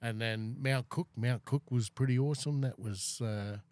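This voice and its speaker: A man's voice: mid-range voice, moderate pace, darkish tone, clear, resonant tone, no room sound. A middle-aged man hosting a casual podcast chat, talking in long rambling runs full of fillers. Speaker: podcast host.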